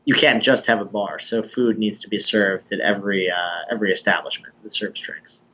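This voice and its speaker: A man speaking as a guest over a video call, articulate and thoughtful, laying out his ideas in a measured way. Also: lowish voice, steady tone, bright, forceful tone, slightly rough, lo-fi sound. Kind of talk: casual interview conversation.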